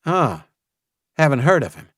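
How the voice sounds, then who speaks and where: A man's voice: a little high, big pitch swings, a little slow, no echo, clean studio sound, pitched above the performer's own voice. A man voicing a friendly, curious, teasing male survivor in an audiobook. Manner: casual, teasing.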